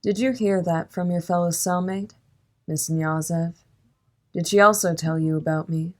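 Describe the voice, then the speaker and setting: A woman playing a calm, menacing crime boss in an audio drama, speaking with polite, coolly amused formality. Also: on the low side, normal speed, lightly acted.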